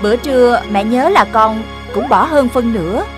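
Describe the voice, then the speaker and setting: Casting: woman playing caring, firm animal mother, fairly high voice, motherly, kids' cartoon with music. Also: normal speed, natural voice. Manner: motherly, gently admonishing. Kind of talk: animated children's cartoon dialogue.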